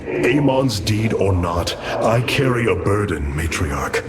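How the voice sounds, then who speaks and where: Deep, solemn alien warrior leader. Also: male voice, big pitch swings, slow, background noise. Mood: grieving. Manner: grave, formal, declamatory. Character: noble armored male alien warrior leader, burdened, solemn. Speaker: alien military commander. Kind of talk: dramatic video game voice acting. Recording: loud music behind.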